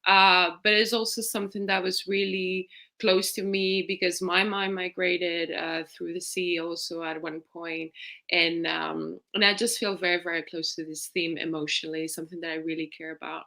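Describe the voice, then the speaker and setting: A woman from Spain, a film director, talking reflectively about her short film on a video call, with many restarts and soft laughs. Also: fairly low pitch, flat delivery, moderate pace, gravelly. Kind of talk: festival Q&A interview over video call.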